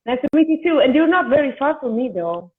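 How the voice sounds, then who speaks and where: A woman's voice: high-pitched, sing-song, fast talker. A woman, hosting a casual video-call chat and often restarting her sentences as she talks. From Italy. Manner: chatty, with frequent restarts. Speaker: host and singer. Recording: tinny phone-like call audio.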